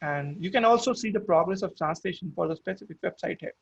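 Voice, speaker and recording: A man's voice: high voice, quick pace, gravelly, husky, lo-fi sound. A man with an Indian accent and Hindi as his first language, giving a webinar product demo, explaining step by step with frequent restarts. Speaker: product presenter.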